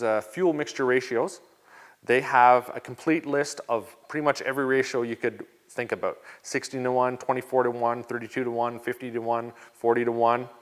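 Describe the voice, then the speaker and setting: A Canadian man hosting a casual vlog, answering viewer questions in a relaxed, chatty way with plenty of ums and uhs. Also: medium pitch. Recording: long reverb.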